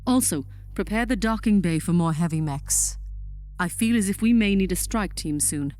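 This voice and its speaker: A woman's voice: lowish voice, expressive, moderate pace, a little mellow, slight background noise, natural voice. A woman playing a commanding, impatient alien general in a sci-fi audio drama, clipped and drily sarcastic. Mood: businesslike, confident.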